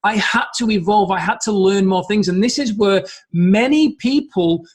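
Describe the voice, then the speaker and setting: Man, middle-aged, high-pitched, emphatic, motivational coaching talk. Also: moderate pace. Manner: earnest, emphatic, repeats key phrases. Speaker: business coach.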